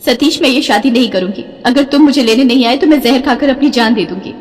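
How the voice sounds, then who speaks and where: A woman voicing a headstrong, pampered young woman in love, earnest and insistent, in an animated melodrama. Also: sits high, quick pace, in a large hall, natural voice. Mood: defiant. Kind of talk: melodramatic animated story acting.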